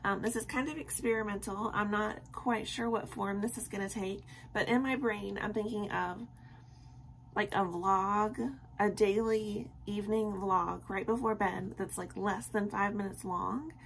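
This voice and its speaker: A young woman with a tired, slightly scratchy voice talks casually to her viewers at bedtime, filling pauses with ums. She sits in a small bedroom close to the camera. A faint hiss of room noise sits under her voice. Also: medium pitch, steady tone, vocal fry. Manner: rambling and friendly, with many ums and soft laughs. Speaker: vlogger. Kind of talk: casual late-night chat to camera.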